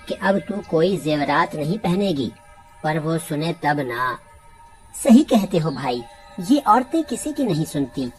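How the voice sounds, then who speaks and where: A man playing a worried, exasperated villager in a cartoon story, complaining in a dramatic, fretful way. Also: sits high.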